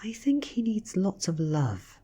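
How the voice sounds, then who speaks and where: Man voicing a soft, husky ancient vampire girl. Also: sits high, wildly swooping pitch, unhurried, voice pushed up, heavily acted. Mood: flippant. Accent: British. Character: ancient female vampire posing as a teasing schoolgirl.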